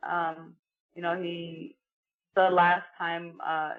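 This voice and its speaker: A woman's voice: on the low side, little pitch movement, takes it slowly. A woman from Mexico, a professional boxer, talking earnestly as a guest in an interview and often saying "you know". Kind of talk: casual interview conversation. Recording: tinny phone-like remote line.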